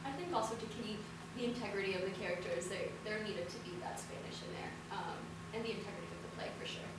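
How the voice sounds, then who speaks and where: Young female actor, reflective panel speaker, medium pitch, noisy echoey pickup. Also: fast. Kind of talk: casual, reflective panel answers. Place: indoor room, public post-show discussion. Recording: noisy, echoey room, far from mic.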